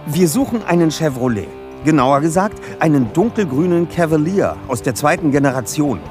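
A man's voice: fairly high pitch, animated, moderate pace, music in the background, close to an everyday voice. A man playing a self-assured, bookish teenage detective leader in a German audio drama, speaking precisely and formally. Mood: businesslike. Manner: precise, bookish, matter-of-fact.

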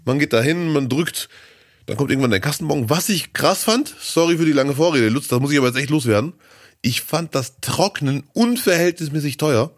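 A man with a slightly hoarse, scratchy voice from a cold, co-hosting a casual podcast and telling rambling stories full of fillers. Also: a little high, expressive, a little fast, no echo, clean studio sound. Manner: chatty, storytelling, rambling with fillers. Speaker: podcast co-host.

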